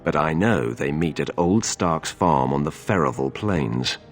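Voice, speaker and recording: An actor playing a grim, menacing informant in a video game, with a gravelly, very deep voice and a theatrical, deliberate delivery. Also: male voice, expressive. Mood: grimly satisfied. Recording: long reverb, faint music behind.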